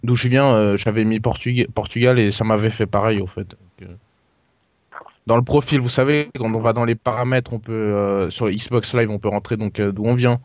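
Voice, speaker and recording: A man making a prank call to customer support as a customer, speaking in a halting, hesitant way with puzzled offence. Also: on the low side, steady tone, no room sound. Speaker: prank caller posing as a customer. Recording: dull lo-fi call recording, dry.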